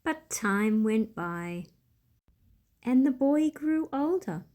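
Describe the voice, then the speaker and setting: Expressive, high-pitched woman reading a storybook. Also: slow pace. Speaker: storybook reader and host. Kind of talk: children's read-aloud narration with asides.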